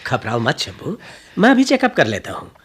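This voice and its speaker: An actor playing a genial, reassuring family doctor in a radio drama, with a husky voice. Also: male voice, mid-range voice, huge pitch swings, moderate pace, a bit bright, slight background noise. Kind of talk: Hindi radio drama acting.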